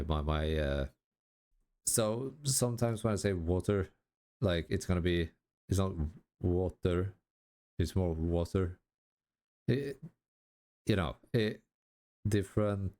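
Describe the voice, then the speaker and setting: A Swedish man, a gaming YouTuber, talking casually in halting, self-correcting sentences and laughing at himself over his English pronunciation. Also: deep voice, darkish tone. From Sweden. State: tired. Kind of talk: casual commentary while gaming.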